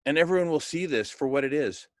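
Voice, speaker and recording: A man talking informally and enthusiastically like an organizer pitching a plan, emphatic and jokey, often checking for agreement. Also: animated, quick pace, no echo, studio quality. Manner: enthusiastic, emphatic, repeatedly seeking agreement with tag questions; jokey, chuckles at his own remarks. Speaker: organizer of a food charity project. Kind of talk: informal motivational planning talk.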